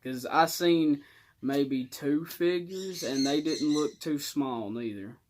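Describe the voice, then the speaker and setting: A man's voice: a little high, slow pace. A young man telling a personal story in casual, colloquial speech with some swagger.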